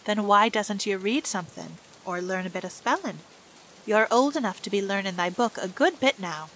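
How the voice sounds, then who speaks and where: A woman's voice: mid-range voice, animated, moderate pace, a bit bright, slight background noise, poor audio. A woman doing a chatty housemaid. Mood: kindly. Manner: homely and talkative. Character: chatty, kindly young Yorkshire housemaid.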